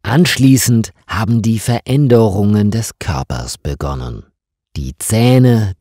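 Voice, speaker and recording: Audio story role: transformed Mars settler woman, played by a male narrator, eerie, matter-of-fact, slow, mellow delivery. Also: on the low side, slightly rough, dry recording, clean studio sound, exaggerated voice. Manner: measured, matter-of-fact explaining.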